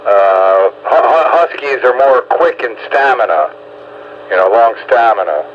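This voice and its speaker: Male, mature, conversational and halting, muffled radio-like sound with slight noise. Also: medium pitch, animated. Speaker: husky owner and rescue volunteer. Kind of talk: casual chat.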